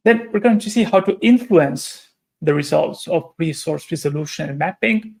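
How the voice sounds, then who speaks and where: Man, slightly high voice, technical talk, hesitant explanations. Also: expressive, moderate pace, vocal fry, darkish tone. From Romania. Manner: explanatory, with frequent hesitations and self-corrections. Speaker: conference speaker. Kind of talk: technical conference presentation.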